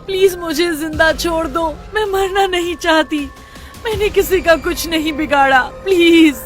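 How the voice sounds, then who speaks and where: A woman's voice: very high-pitched, measured pace. A woman playing a timid, frightened young girlfriend in a horror cartoon story, anxious and pleading. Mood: desperate pleading. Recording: loud music behind the voice. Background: music.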